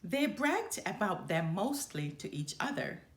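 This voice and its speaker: A woman storyteller reading a children's picture book aloud in an expressive, deliberate way. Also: mid-range voice, echoey room. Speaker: storyteller, channel host.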